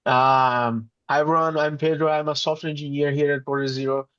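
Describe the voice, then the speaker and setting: A man from Brazil whose first language is Portuguese, a software engineer speaking briefly and easily on a call. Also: on the high side, little pitch movement, brisk, clear, resonant tone, no echo, highs cut off. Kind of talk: casual chat on video call.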